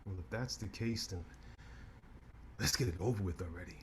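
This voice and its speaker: An actor in a staged play reading, playing a sharp-tongued male lawyer who trades quick, teasing comebacks and turns grave and reflective. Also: lowish voice, noisy background, natural voice. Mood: grave, weary.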